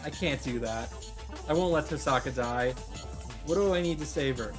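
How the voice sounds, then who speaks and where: Man reading dramatically over loud music. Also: mid-range voice, normal speed. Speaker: visual novel reader and commentator. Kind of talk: dramatic read-aloud of first-person story narration with reactions. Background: game music.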